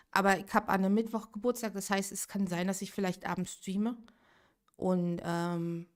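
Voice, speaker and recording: A woman's voice: lowish voice, darkish tone. A woman in her late thirties chatting casually on a live game stream, thinking aloud and answering viewers' chat. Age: 30s.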